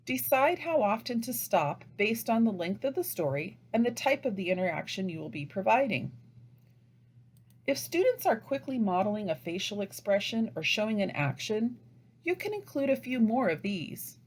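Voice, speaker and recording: A woman delivering scripted instructional narration as a teacher trainer, with deliberate, didactic phrasing. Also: medium pitch, big pitch swings.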